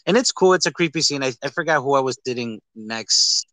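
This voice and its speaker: A man in casual, animated conversation as a podcast host, slipping into imagined quotes as he goes. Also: mid-range voice, fairly quick, no echo. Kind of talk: casual movie chat.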